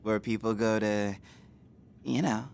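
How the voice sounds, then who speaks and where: A man's voice: fairly low pitch, fairly even intonation, measured pace, vocal fry, darkish tone, a little hiss or hum. A man playing a goofy, teasing teenage boy in an English video game dub, slangy and playful. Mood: knowing, insinuating.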